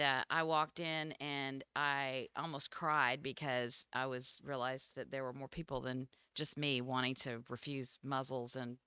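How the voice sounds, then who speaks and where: A woman with a loud voice giving thanks at a community meeting, with many ums and pauses. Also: deep voice, clear, resonant tone, no echo, studio quality. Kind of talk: informal speech at a meeting.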